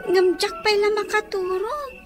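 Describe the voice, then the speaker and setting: Woman acting a high-pitched, tearful young child. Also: measured pace, loud music behind the voice. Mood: tearful, wistful. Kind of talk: Ilocano audio drama acting.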